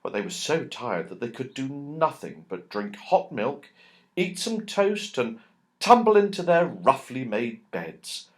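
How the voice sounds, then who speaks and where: A man's voice: medium pitch, very animated, measured pace. A man narrating a children's storybook expressively, drawing out key words for emphasis. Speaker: audiobook narrator.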